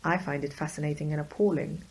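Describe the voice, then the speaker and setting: Female investigator in a horror podcast, played by a woman: deep, gravelly, restrained and deliberate. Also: fairly even intonation, rough recording. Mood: restrained probing. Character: female private investigator narrating a horror mystery. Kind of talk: audio drama narration.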